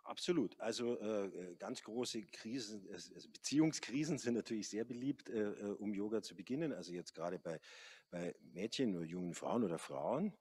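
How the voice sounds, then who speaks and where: Low, husky man talking about yoga. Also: lively intonation, normal speed, darkish tone, no room sound. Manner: hesitant, many fillers. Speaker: yoga teacher. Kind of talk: panel conversation. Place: large café hall, indoors. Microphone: handheld mic.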